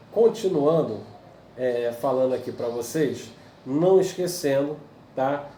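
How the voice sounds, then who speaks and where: A man's voice: medium pitch, mellow, distant mic. A male history teacher with a Brazilian Portuguese accent, giving a recorded video lesson to pupils and checking in with "tá?" while hesitating with fillers. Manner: explaining patiently with frequent "tá?" check-ins and hesitant "é, é" fillers. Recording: echoey room, voice a bit off-mic, faint background hiss.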